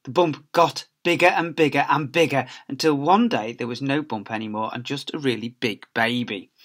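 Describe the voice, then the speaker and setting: A man's voice: mid-range voice, normal speed, a bit bright. Man reading a children's story expressively. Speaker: teacher reading a story to pupils. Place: small school office, indoors. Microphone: laptop or webcam at desk distance.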